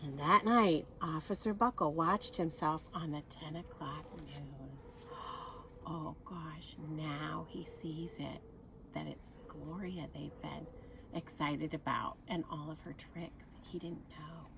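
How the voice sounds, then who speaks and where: A woman reading a picture book aloud to children in an expressive manner, adding asides and questions for her young listeners. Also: low-pitched, takes it slowly, creaky, background noise.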